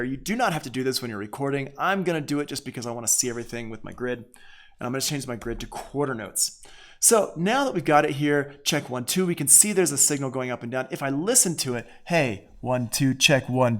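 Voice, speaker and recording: A man teaching audio production in a casual live online tutorial, thinking aloud informally as he works. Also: mid-range voice.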